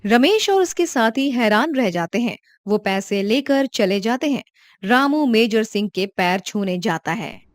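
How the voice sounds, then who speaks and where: A woman narrating a cartoon story in a calm, even storytelling voice. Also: fairly high pitch, a little slow, no echo, clean studio sound.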